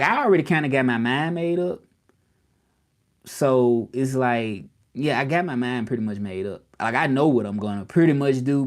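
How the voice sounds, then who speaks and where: Rambling Southern man chatting live. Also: medium pitch. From Memphis, Tennessee, US South. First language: English. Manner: rambling, repeats himself, questions the viewers directly. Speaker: live streamer. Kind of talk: casual live stream chat.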